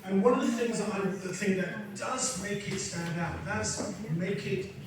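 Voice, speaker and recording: A man's voice: high-pitched, a little slow, crowd noise. A man from England giving an unscripted speech at a community event, hesitant and full of fillers and restarts. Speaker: council vice chair and lecturer giving a speech. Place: large echoey event hall. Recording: echoey hall, from far off, noisy.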